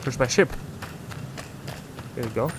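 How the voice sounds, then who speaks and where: A man's voice: mid-range voice, measured pace, background noise. A man whose first language is Ukrainian, rambling through casual gameplay commentary as a gaming YouTuber, often correcting himself.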